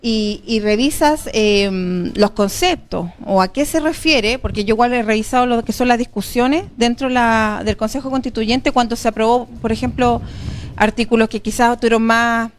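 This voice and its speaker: A woman's voice: on the high side, normal speed, slight background noise. A Chilean woman speaking as an interview guest, explaining and arguing her points in a conversational way. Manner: explanatory, argumentative.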